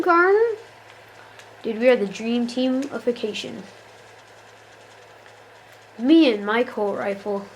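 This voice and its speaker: A woman's voice: fairly high pitch, slight background noise, rough recording. A woman giving casual, jokey commentary while playing a shooter game, often exasperated and talking to the characters on screen. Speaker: gamer.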